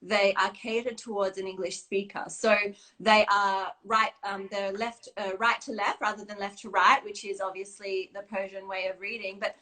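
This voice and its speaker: Woman guest, chatty and modest, filler-heavy, middling pitch, live video call. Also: little pitch movement, normal speed, few pauses, bright, forceful tone, low-quality recording. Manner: chatty, self-deprecating, many fillers. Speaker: guest, children's book creator. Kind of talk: casual live-stream interview. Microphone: phone or video call.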